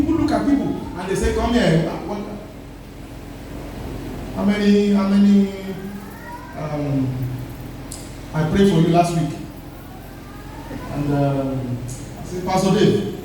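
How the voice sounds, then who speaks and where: High-voiced preaching man in echoey hall. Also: warm tone, recorded from a distance, slight background noise. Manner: emphatic preaching with call-and-response. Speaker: pastor. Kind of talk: sermon.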